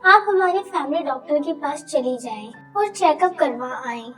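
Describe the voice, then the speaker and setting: A woman's voice: sits high, lively intonation, unhurried, fairly bright tone, far from the mic, soft background music. A woman playing a young, dutiful and outspoken daughter-in-law, earnest and dramatic, in a cartoon story. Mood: concerned.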